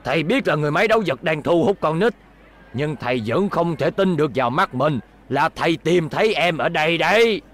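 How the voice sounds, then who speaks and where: A man's voice: a little high, expressive, a little fast, a bit bright. A man voicing a stern, indignant old schoolteacher in a cartoon dub, scolding and blustery. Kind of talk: cartoon dubbing.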